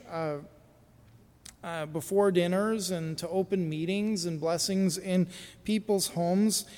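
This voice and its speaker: A man who is a pastor, from the United States, telling a personal story in a sermon in an easy conversational way, with pauses and fillers. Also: high voice, in a large hall.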